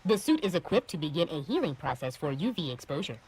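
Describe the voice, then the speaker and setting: A woman voicing a calm, literal, obedient ship's computer in an audio drama, formal and emotionless. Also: low-pitched, fast, breathy edge, exaggerated voice, low-quality recording. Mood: neutral.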